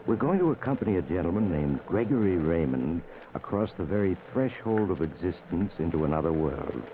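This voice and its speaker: An American man playing a sinister yet genial host of eerie tales in a 1940s radio drama, speaking deliberately with macabre wit. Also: sits low, expressive, phone-quality sound. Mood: ominous, inviting. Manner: deliberate, ominous, wryly macabre. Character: the Mysterious Traveler, sinister genial male storyteller of eerie tales. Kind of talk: 1940s radio suspense narration. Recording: noisy, thin vintage radio recording.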